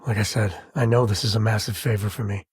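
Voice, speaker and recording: An actor playing an easygoing, middle-aged space cowboy and devoted father in a video game, with a husky, rough-edged voice and folksy, dryly humorous phrasing. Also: fairly low pitch, quick pace, dry recording. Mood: tender. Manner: folksy, relaxed phrasing with dry humour. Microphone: close dry studio mic.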